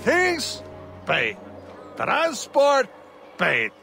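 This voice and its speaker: An actor playing an erratic, leering sea captain in a video game, rambling in drawn-out, giggly phrases. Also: male voice, extremely high pitch, wildly swooping pitch, vocal fry, bright, forceful tone, faint music behind, full character voice. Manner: rambling, drawn-out, swooping delivery. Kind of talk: video game cutscene acting.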